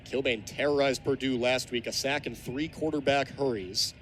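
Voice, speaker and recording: A man's voice: medium pitch, steady tone, moderate pace, fairly bright tone. A man in his twenties with an American accent, calling live football play-by-play on the radio. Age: 20s. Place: outdoor college football stadium. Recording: outdoor stadium broadcast, ambient background noise.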